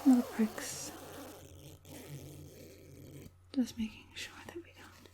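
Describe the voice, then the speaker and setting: A woman voicing an evil witch puppet master in a whispered ASMR roleplay, coaxing and falsely caring, slow and deliberate in a breathy hush. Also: a little high, a little hiss or hum, lightly acted. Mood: clinical, soothing. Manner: slow, deliberate, soothingly menacing.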